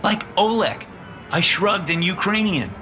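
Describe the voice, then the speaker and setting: A man voicing the young characters of a horror story in a raised, lively voice. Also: measured pace. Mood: tense. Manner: raised pitch for dialogue. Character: young adult characters, men and a woman. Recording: music underneath.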